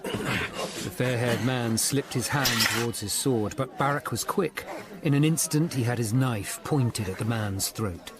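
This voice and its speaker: An actor playing an earnest, educated Tudor lawyer-detective in a radio drama, speaking in a measured, formal way. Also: male voice, mid-range voice, crowd noise, close to an everyday voice. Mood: grave, tense narration. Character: sober, educated Tudor lawyer-detective narrating his investigation. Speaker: narrator and lead character. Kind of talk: radio drama narration and dialogue.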